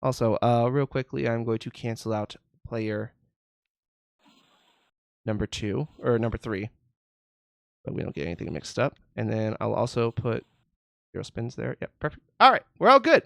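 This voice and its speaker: Brief: male game show host, congested, showy patter, smooth clear voice, dry close sound. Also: mid-range voice, big pitch swings, normal speed, pauses often, no room sound. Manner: showy announcer patter, calls out totals and ladies and gentlemen. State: congested from a summer cold. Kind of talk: hosting an online game show.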